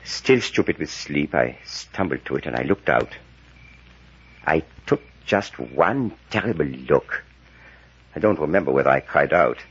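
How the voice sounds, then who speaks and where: Secretive, solemn professor for vintage radio adventure; husky, dramatic storyteller, muffled old sound. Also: male voice, rumbling low, animated, a little slow, heavily acted. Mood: haunted, dramatic. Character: grave, secretive male professor recounting horrors. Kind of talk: 1940s radio drama acting. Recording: dull vintage radio recording.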